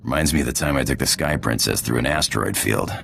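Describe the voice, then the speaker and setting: A man playing a cocky, roguish space pirate in a video game, with a gravelly drawl, casual slang and a flirtatious swagger. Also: fast talker, a bit bright, soft background music, heavily acted. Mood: breezy, storytelling. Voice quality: gravelly, very deep voice. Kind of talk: video game character acting.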